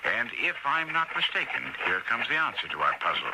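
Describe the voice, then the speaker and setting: An actor playing an imperious, pompous detective in a radio mystery drama, speaking with theatrical precision. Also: male voice, medium pitch, very animated, fairly quick, very noisy, natural voice. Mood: brisk, intrigued. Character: imperious eccentric detective, brisk, self-assured older man. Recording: radio drama broadcast sound.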